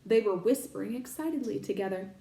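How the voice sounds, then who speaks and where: A woman teacher reading a children's storybook aloud, expressive and stopping to explain words to young listeners. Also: mid-range voice, quick pace, gravelly, reverberant.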